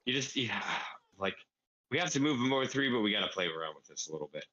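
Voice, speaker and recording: A man's voice: fairly low pitch, lively intonation, quick pace, bright, forceful tone, muffled recording. A man explaining a game build casually, step by step, thinking aloud as he plays. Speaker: player explaining a farm build. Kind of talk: casual gaming tutorial.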